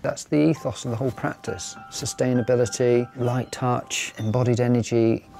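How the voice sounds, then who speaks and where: Gravelly, hesitant architect. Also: male voice, mid-range voice, fairly even intonation, moderate pace, music in the background. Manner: hesitant, searching for words. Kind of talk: documentary interview.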